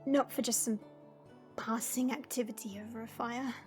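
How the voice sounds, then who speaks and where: A woman playing a gentle dragon princess in an audio roleplay, speaking in a measured, formal and tender way. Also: fairly high pitch, fairly even intonation, soft background music, close to an everyday voice. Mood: gentle, remorseful. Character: gentle dragon princess, proud gardener, softly regretful.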